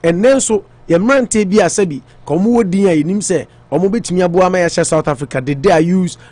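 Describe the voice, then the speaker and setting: Ghanaian male radio host, high-ish voice, casual and halting, dry booth. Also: a little mellow, no echo. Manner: mixes Twi and English, hesitant with fillers. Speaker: radio morning show host. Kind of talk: casual sports talk on radio.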